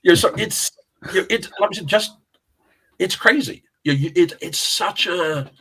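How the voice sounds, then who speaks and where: Middle-aged south london guy rambling thru showbiz stories, husky voice, laughs a lot, over a video call. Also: high voice, expressive, normal speed, bright, forceful tone, rough recording. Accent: South London English. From England. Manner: rambling anecdotes, laughing often, swearing. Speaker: interview guest, casting director and actor. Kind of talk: casual chat.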